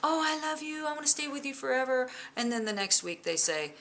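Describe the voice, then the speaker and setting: A woman in her forties, a bass player and songwriter, chatting casually in an interview at home, lively with lots of fillers. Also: brisk. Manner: lively, chatty, laughs often, many fillers. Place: living room at home. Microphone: camera in front, close framing.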